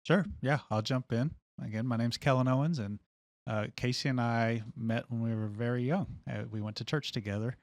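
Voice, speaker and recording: A man's voice: mid-range voice, fairly even intonation, moderate pace, dry recording. A man, a podcast guest, telling stories in an easygoing way. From Georgia, US. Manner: easygoing, storytelling. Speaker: podcast guest, school director. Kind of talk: casual chat.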